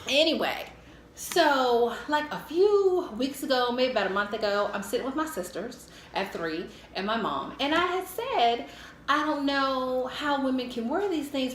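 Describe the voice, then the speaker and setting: A middle-aged American woman telling a casual, humorous personal story in a candid, animated way. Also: high-pitched, moderate pace. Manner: animated, candid, acts out her own thoughts. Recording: echoey room with faint noise.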